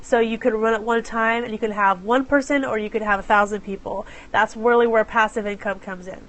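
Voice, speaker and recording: A woman with a fairly high voice, congested and stuffed up from a cold. She chats casually into her phone on a live stream, apologizing often and greeting viewers by name. Her delivery is loose and conversational. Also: fairly even intonation, a little fast, a bit bright, poor audio. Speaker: live stream host for small business owners. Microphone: phone camera.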